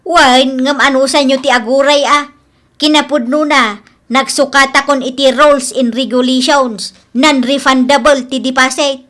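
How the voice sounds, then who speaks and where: A stingy, quarrelsome older landlady, acted by a woman in an Ilocano-accented radio drama. Her voice is high and piercingly bright. She brushes people off stubbornly and is quick to argue. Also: steady tone. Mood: defensive, irritated.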